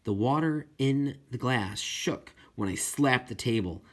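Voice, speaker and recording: A man narrates a children's audiobook expressively in the first person. His mid-range voice is husky and moves up and down with plenty of animation. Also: mid-range voice, animated, measured pace. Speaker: audiobook reader.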